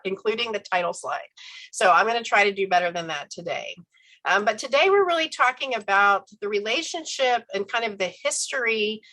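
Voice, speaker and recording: A woman's voice: medium pitch, sharp tone, poor audio. A woman professor giving an online lecture while stuffy and congested from a head cold, speaking conversationally with ums and self-corrections. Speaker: university professor giving a talk.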